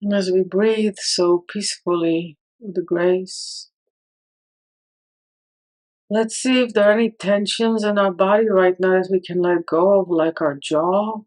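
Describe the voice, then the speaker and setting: Slow, even-toned woman guiding meditation. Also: mid-range voice, rough recording. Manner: calm, soothing, with long pauses. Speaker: meditation guide. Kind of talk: guided meditation.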